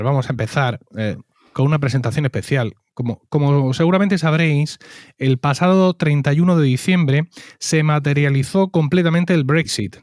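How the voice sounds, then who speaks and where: A man's voice: on the high side, darkish tone, no room sound. A man with a Spain Spanish accent hosting a podcast, reading a scripted intro with dry, mock-pompous irony. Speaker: podcast host.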